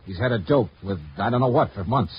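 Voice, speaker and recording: An actor playing a terse, cynical insurance investigator in a mid-century radio detective drama, narrating and questioning in a clipped, wry manner. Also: male voice, medium pitch, fairly quick, breathy edge. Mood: stern, pressing. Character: hardboiled insurance investigator, male, brisk, cynical, commanding. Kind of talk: 1950s radio detective acting, clipped first-person narration. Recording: dull vintage radio recording, music behind.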